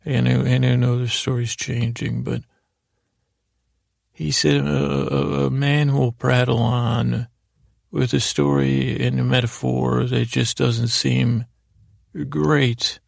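Gravelly, creaky man rambling a bedtime story. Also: medium pitch, flat delivery, no echo, clean studio sound. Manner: meandering, halting, repeats and trails off; mild, friendly, absurdly digressive. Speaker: podcast host telling bedtime stories.

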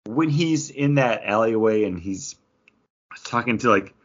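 A man's voice: fairly low pitch, animated, unhurried, dull audio. A man chatting casually and informally as a podcast co-host discussing a book.

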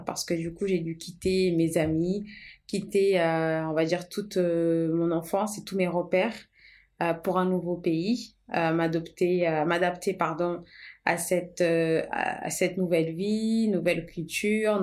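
A woman's voice: fairly low pitch, darkish tone. Earnest, hesitant woman founder. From Portugal. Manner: hesitant, many fillers, earnest. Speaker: podcast co-host, brand founder. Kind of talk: casual conversational podcast.

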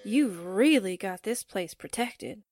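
A woman's voice: medium pitch, animated, slow pace, music in the background. An actress playing a spunky, wisecracking newspaperwoman sidekick in a 1940s radio drama, slangy and suspicious. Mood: wry, alert.

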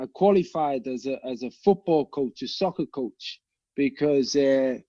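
Scouse guy, pretty high voice, dry close sound, kinda dull lo-fi audio, swears a lot while telling stories. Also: no room sound. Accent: Liverpool. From England. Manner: animated, swears freely, rambling anecdotes. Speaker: guest. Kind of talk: casual storytelling chat.

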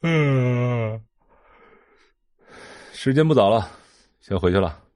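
A man's voice: lively intonation, takes it slowly, darkish tone, no room sound. An actor playing an aloof, laconic young rich man with a listless manner in a Chinese audio drama. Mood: bored, languid. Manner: terse, low, listless.

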